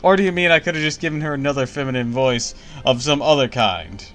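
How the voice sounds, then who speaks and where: A man's voice: medium pitch, a little fast, fairly bright tone. A man streams a game from home and talks casually to his viewers. He is blunt and jokey, and faint game music runs behind him. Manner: blunt, laughing, joking. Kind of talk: casual gameplay commentary.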